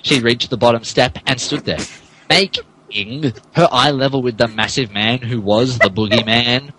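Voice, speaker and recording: A man's voice: medium pitch, normal speed, bright, forceful tone, slight background noise, rough recording. A man narrating a comic dramatic reading in a mock-serious way, often stumbling over his words. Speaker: podcast host reading as narrator.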